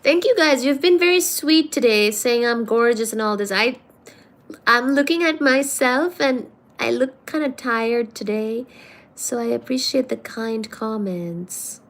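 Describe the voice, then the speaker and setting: A woman's voice: fairly high pitch, measured pace. A woman hosting a livestream, chatting casually and teasingly as she reads out viewer comments. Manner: playful and teasing, calls viewers darling. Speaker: livestream host.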